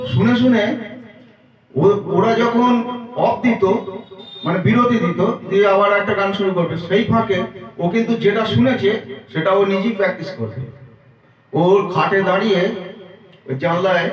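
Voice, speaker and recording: A man telling a comic story as a monologue, conversational, with explanatory asides. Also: sits high. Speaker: storyteller for a YouTube channel. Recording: dull, echoey, distant-mic recording.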